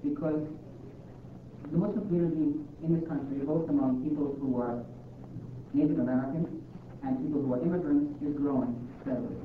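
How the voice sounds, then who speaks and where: A man moderating a public talk, giving a thoughtful academic comment with hesitant "uh" pauses. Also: a little high, fairly even intonation. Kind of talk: lecture-style commentary. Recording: echoey hall from far off, tinny and noisy.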